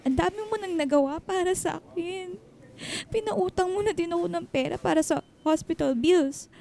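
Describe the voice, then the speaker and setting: A woman's voice: very high-pitched, normal speed, vocal fry, dark tone. An actress playing a grateful, hesitant single mother in a Filipino radio drama, pleading and emotional. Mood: tearful, overwhelmed.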